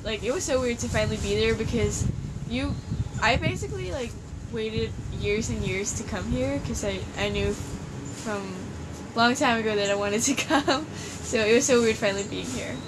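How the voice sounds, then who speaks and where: A woman's voice: a little high, moderate pace. A teenage girl from Denmark chatting casually as an exchange student, giggly and full of "like" fillers, filmed outdoors on a porch. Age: teens. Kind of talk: casual chat. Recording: outdoor ambience noise.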